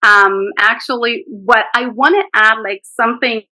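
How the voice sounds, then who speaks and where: Woman, middle-aged, from Morocco, native Arabic speaker, bright voice, dry sound, earnest, lots of fillers, video call. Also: fairly high pitch, a little slow, no echo, rough recording. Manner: earnest, with frequent fillers and restarts. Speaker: guest teacher. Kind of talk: webinar interview.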